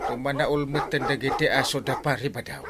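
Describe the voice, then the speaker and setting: A man playing an excitable, good-natured village captain who exclaims and stammers in an Ilocano radio comedy, with an Ilocano Filipino accent. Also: medium pitch, a little fast, heavy background noise, close to an everyday voice. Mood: concerned. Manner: swooping exclamations, stammering openers.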